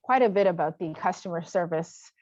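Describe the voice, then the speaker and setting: Woman moderating an online panel, lower voice, dull dry sound. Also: fairly quick, a little mellow, no echo. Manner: upbeat, thankful, brief.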